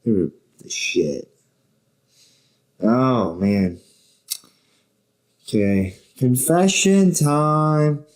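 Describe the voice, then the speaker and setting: A man's voice: mid-range voice, drawn-out words, pauses often. A man hosting a podcast in casual chat from a bedroom, rambling and joking and swearing freely. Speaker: podcast host.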